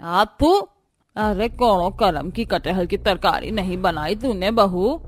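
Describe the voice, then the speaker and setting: A woman's voice: mid-range voice, takes it slowly. A woman voicing a fault-finding grandmother of seventy-five in a cartoon, commanding and scolding. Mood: scolding, demanding. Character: loud, bossy 75-year-old village grandmother-in-law, fault-finding.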